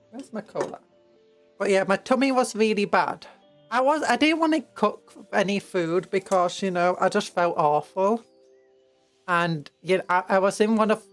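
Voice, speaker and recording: Croaky-voiced young British woman streamer. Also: lowish voice. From Britain. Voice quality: croaky from hay fever. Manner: rambling and playful, with restarts and sudden squeals. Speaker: streamer painting miniatures. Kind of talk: casual livestream chat.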